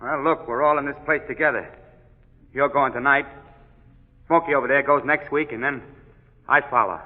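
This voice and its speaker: An actor playing a frightened death-row inmate who speaks nervously and pleads, in an old radio drama. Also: male voice, mid-range voice, fairly even intonation, normal speed, close to an everyday voice. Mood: anxious. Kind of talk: 1940s radio acting. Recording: tinny old radio broadcast with long reverb.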